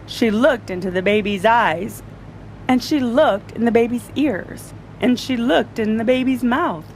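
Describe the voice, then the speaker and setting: A woman reading a children's story aloud in an animated, deliberate storytelling style. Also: fairly high pitch, slight background noise, low-quality recording.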